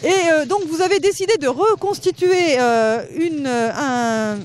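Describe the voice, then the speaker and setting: A woman's voice: high-pitched, unhurried, a little hiss or hum. A woman reporter interviewing people outdoors in a forest, chatty and hesitant with frequent fillers. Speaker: radio reporter.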